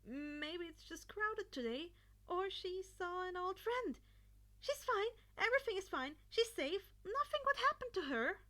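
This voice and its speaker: A high-pitched, anxious teenage boy hero played by a woman in an audiobook story. He pleads and stammers, weighed down by guilt. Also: animated, unhurried, pushed and bright, full character voice. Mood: anxious reassurance. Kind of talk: fan fiction audiobook character voice.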